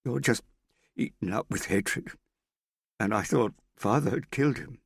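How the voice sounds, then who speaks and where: A distraught young woman begs and pleads, her voice lifted high and tight, as a man reads her part. The delivery sits close and dry, with a husky edge underneath. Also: very animated, normal speed, no room sound, exaggerated voice. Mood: upset. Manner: anxious, pleading.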